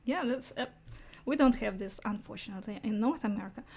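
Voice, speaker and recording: A woman's voice: mid-range voice, quick pace. A young woman working as an interviewer, chatting casually, with tentative questions and small listening responses. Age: young adult.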